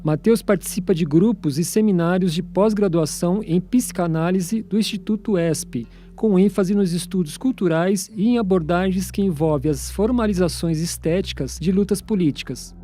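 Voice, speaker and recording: A man's voice: high-pitched, moderate pace, hardly any pauses, warm tone, faint music behind. A man with a Brazilian accent reading scripted narration as co-presenter of a radio documentary.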